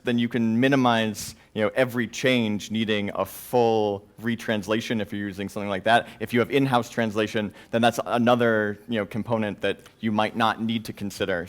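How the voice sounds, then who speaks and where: Man answering questions at a conference. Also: lowish voice. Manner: hedging, halting explanations peppered with "you know". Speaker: conference presenter.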